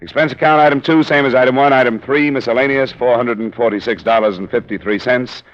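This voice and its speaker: A man's voice: medium pitch, fast, lightly acted. A man playing a hard-boiled male insurance investigator, narrating in a dry, clipped first-person way in a 1950s radio drama. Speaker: narrator and lead character. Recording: dull old radio broadcast.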